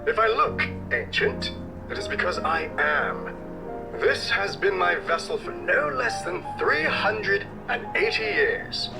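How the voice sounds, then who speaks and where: An ancient machine knight from a video game, gallant and grandly pompous. The voice is gravelly and creaky, swinging wildly up and down in archaic, theatrical phrases, with music playing behind. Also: male voice, sits high, sing-song, slow, heavily acted, low-quality recording. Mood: proud, courtly. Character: ancient, gallant, bombastic robot knight captain.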